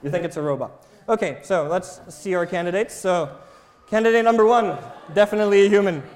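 A man giving a lively, interactive presentation, playfully prompting the people taking part. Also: high-pitched, fairly quick. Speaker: presenter running a live demonstration. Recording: echoey, reverberant lecture hall.

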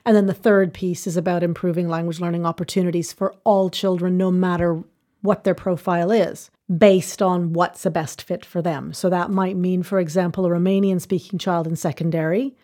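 A woman's voice: fairly low pitch, fairly even intonation, moderate pace, warm tone. A woman being interviewed, laying out her points in order in an earnest, explanatory way. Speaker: interview guest, language education expert. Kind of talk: measured conversational explanation.

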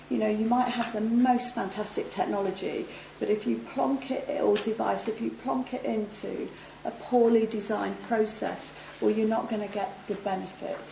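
Croaky woman recovering from laryngitis. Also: medium pitch, warm tone, roomy sound, lo-fi sound, a little hiss or hum. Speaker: panel speaker, nurse turned health manager. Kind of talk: conference panel talk. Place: conference hall.

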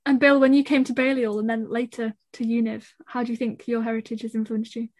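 A woman hosting an interview, asking measured, thoughtful questions. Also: on the high side, level tone, a little mellow, no room sound. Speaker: interviewer host.

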